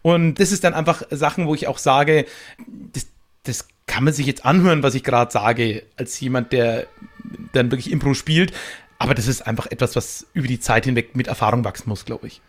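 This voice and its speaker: A man's voice: mid-range voice, a little fast. A man chatting as a podcast guest, explaining improv in a talkative, casual way with little examples.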